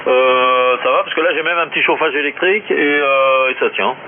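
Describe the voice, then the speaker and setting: Fast, jokey man over noisy shortwave radio. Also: medium pitch, phone-quality sound. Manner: jokey, rambling, slangy. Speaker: amateur radio operator. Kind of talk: casual radio chat with friends.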